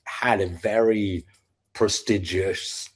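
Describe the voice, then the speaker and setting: A man in his fifties with a low, slightly husky voice and a neutral British accent, though he grew up in Scotland. He tells stories animatedly and acts out his younger self in quoted asides. His talk is casual and fast. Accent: neutral British, not Scottish-sounding. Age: 50s. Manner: lively storyteller acting out his past self in quotes. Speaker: podcast guest, sales founder. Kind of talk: animated storytelling in casual chat.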